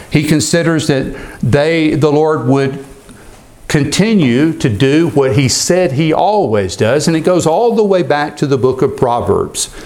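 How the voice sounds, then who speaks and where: A man's voice: mid-range voice, a little mellow. A man pastor preaching an earnest expository sermon, sometimes repeating a word as he goes.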